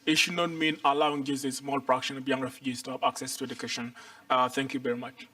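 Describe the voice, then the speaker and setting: Flat-voiced young man from Ethiopia, heard from afar. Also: mid-range voice, dry recording. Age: 20s. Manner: earnest, deliberate, repeats key points. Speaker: panel speaker. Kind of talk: panel remarks. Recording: mic far off, dry sound.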